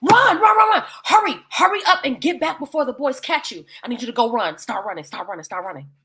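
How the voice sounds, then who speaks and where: A woman voicing a bossy, excited storybook dance caller, commanding and urging over and over. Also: high-pitched, quick pace, heavily acted. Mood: urgent.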